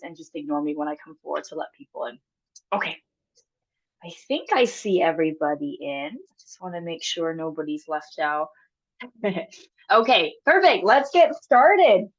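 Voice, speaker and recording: A woman's voice: fairly low pitch, very animated, normal speed, muffled recording. A woman with a cold, sniffling now and then, leading a live online exercise class in a friendly, encouraging, chatty way. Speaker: exercise instructor. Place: home room, video call.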